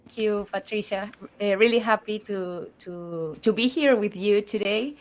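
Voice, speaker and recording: A woman's voice: mid-range voice, measured pace. A woman from Peru, a native Spanish speaker with a Latin American Spanish accent, giving an earnest conference presentation in English. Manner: earnest, with slight non-native phrasing. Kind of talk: presentation talk.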